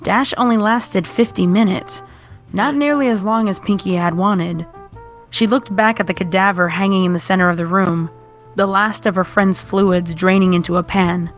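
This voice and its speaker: A woman's voice: mid-range voice, moderate pace, soft background music. A woman narrator reading a scripted horror story.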